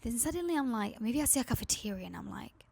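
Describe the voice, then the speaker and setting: A woman's voice: a little high, sing-song, fast talker, gravelly, a little mellow. A woman with a Spanish accent, a native Spanish speaker from Spain, vlogging in a rambling, confiding chat to camera. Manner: rambling, confiding, heavy use of "like".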